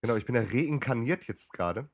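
A man from the Ruhr area, a podcaster guest chatting casually, rambling and self-mocking, a bit hoarse, often clearing his throat. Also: medium pitch, expressive, a little fast, dry recording, studio quality. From Ruhr area, Germany. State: slightly hoarse. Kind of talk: casual chat.

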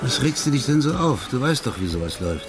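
A man's mid-pitched voice of an actor in a radio crime play, over prominent music and water sounds. He plays an unruffled character, casually laying out the facts. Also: very animated, fast, close to an everyday voice. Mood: explaining, unruffled. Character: man casually explaining a woman's criminal past.

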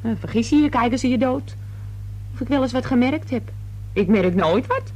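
A woman's voice: fairly high pitch, expressive, normal speed, a little mellow, poor audio. An actress playing a gabby, indignant young working-class cleaning girl in a radio play, talking colloquially.

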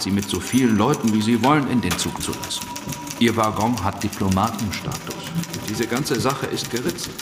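Radio drama, male actor: scheming, confident political operator, clipped and urgent, low register, echoing room. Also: lightly acted. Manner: clipped, brash, self-assured. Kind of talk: German radio play acting. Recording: echoey hall, long reverb.

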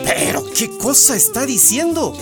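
An arrogant corporate manager in a radio drama. His pompous, indignant speech swings widely in pitch, with music clearly behind it. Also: very animated, moderate pace, heavily acted. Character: arrogant, condescending male mining company manager.

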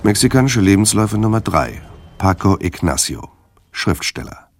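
An actor playing a wry narrator who reads out a dossier in a measured, drawn-out way for a radio drama. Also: male voice, on the low side, big pitch swings, noisy background.

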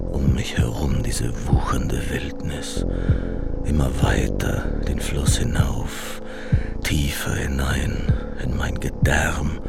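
An actor playing a brooding man who recounts a dark, surreal dream in a slow, hushed radio play monologue, with a gravelly deep voice. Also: exaggerated voice. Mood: hushed dread. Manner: slow, hushed, breathy-intimate storytelling, often near whisper. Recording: loud music under the voice. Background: music.

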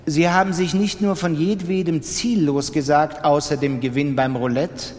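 An actor playing a stern gentleman in a literary radio drama, reproaching a gambler in an insistent, deliberate, rhetorical way. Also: a little high, fairly even intonation, natural voice. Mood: reproachful. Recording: reverberant hall.

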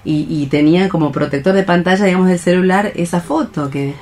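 A woman with a notably low voice co-hosts a radio conversation. She recounts things casually and haltingly, leaning on filler phrases between thoughts. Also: a little mellow. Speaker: radio programme co-host.

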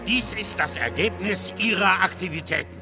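An actor playing a stern, blunt police inspector in a radio drama, speaking in an accusatory, emphatic manner. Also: male voice, high-pitched, moderate pace, loud music behind the voice, close to an everyday voice. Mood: accusing, grave.

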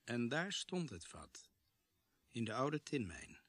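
An earnest policeman in a radio drama. His voice sits at an ordinary male pitch and has a grave, measured storytelling manner. The recording is dry, with no room around him. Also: sing-song, fairly bright tone, breathy edge, no room sound, full character voice. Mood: grave, tense. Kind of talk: radio drama acting and narration.

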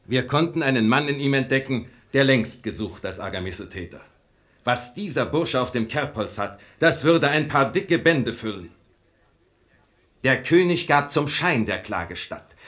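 An actor playing a stern royal officer with an official, commanding manner in a verse radio play. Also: male voice, mid-range voice, expressive, normal speed, a bit bright, natural voice. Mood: solemn, reassuring. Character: stern, authoritative royal officer, magnanimous to the innocent.

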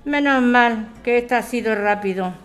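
A woman's voice: fairly high pitch, unhurried, soft background music, lightly acted. A woman with a Spanish accent from Spain playing a weary, fed-up bar owner in a scripted radio drama. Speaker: actor in an audio drama.

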